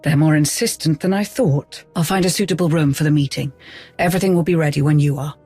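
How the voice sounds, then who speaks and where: An actor playing a polite, anxious advisor to a Sith lord in a video game, formal and deferential, with a husky voice. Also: male voice, on the high side, fast, darkish tone, faint music behind. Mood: concerned, tactful. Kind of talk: video game cutscene acting.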